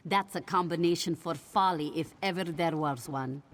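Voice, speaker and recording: A woman's voice: deep voice, normal speed. A woman voicing a defiant, scheming ship captain in a video game, with a sardonic, colourful manner. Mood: sly, amused. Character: wry, scheming female ship captain, hard-drinking and defiant. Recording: noisy ambient background.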